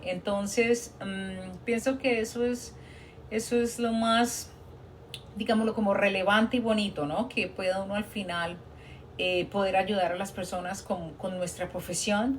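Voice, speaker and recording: A woman's voice: mid-range voice, a little hiss or hum. A Colombian woman, a nurse working abroad, giving earnest, encouraging advice in a casual live stream chat, often restarting her sentences.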